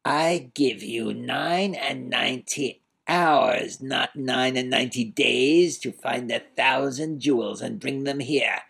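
Guy reading as a cold mocking old duke, gravelly husky voice, drags every word out slow. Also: mid-range voice, a bit bright, heavily acted. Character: cold sneering old male villain duke. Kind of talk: audiobook character voice.

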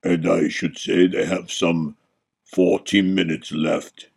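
A man acts out a laconic male spy from a storybook. His voice is deep, husky and heavily gravelled. He delivers each reply slowly, dry and deadpan, as a weary, unflappable underling. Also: exaggerated voice. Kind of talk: dramatised storybook narration.

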